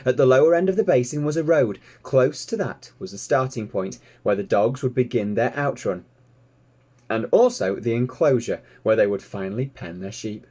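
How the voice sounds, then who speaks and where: A man's voice: medium pitch, animated, normal speed, mellow, husky. A man reading a children's story aloud expressively, like a teacher to pupils.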